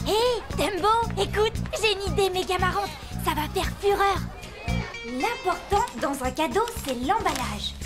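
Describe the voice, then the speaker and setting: A woman's voice: very high-pitched, animated, measured pace. A woman voicing a bossy, vain, scheming young schoolgirl in a French cartoon, with a sassy, mocking manner. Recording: music under the voice.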